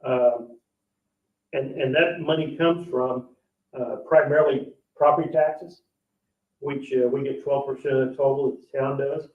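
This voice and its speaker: Distant, echoey man explaining finances haltingly. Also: medium pitch, fairly even intonation. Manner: halting, plain-spoken, checks understanding with "okay?". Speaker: parks board official presenting the budget. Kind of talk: explaining finances at a public meeting. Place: indoor public board meeting room. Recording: echoey meeting room, distant dull mic.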